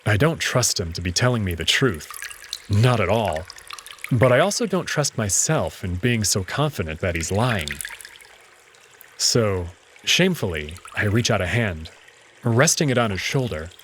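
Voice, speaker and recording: Expressive male story narrator, some noise. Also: on the low side, unhurried. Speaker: narrator reading a visual novel aloud. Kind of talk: first-person dramatic story narration.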